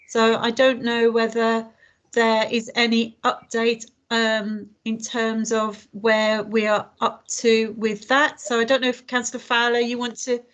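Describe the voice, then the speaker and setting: A woman's voice: fairly high pitch, dull audio. A woman leading an online community meeting over a video call, talking with many fillers and restarts. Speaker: meeting facilitator.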